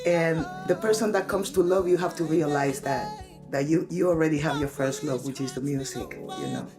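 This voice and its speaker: A woman's voice: sits low, big pitch swings, moderate pace, music in the background. A woman from Spain, a native Spanish speaker, answering interview questions emphatically, repeating herself and saying "you know" often. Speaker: interviewed singer.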